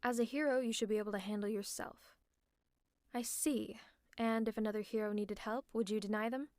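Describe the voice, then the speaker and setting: A woman playing a sardonic female supervillain in an ASMR roleplay, teasing and scolding the listener in a gruffly caring way. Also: a little high, steady tone, brisk, a little mellow, no room sound, clean studio sound, lightly acted. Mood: sarcastic scolding. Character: sardonic female supervillain, gruffly fussing over a sick hero. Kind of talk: ASMR roleplay, one-sided dialogue to the listener. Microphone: close mic, dry.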